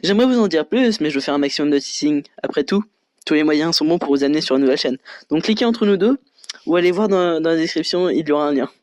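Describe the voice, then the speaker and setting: Man, soft dark timbre, online video co-host, casual fan theory chat. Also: a little high, expressive, quick pace.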